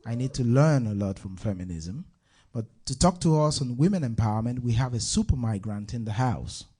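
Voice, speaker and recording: A man's voice: mid-range voice, expressive, brisk, warm tone, breathy edge. A man hosting a live talk show on stage at an art venue, introducing guests in loose, chatty patter.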